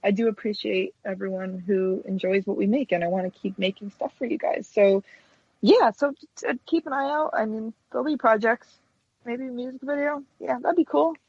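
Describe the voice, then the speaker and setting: A woman's voice: on the high side, normal speed, a little mellow, husky, no echo, lo-fi sound. A woman chatting casually as an interview guest, informal and hesitant, often correcting herself. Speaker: guest, stop-motion animator.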